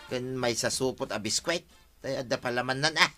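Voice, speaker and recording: A male actor plays an easygoing Ilocano husband in a radio comedy drama. His voice sits at middle pitch with a bright, forward edge, chatty and neighbourly. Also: normal speed, soft background music, lightly acted. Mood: casual.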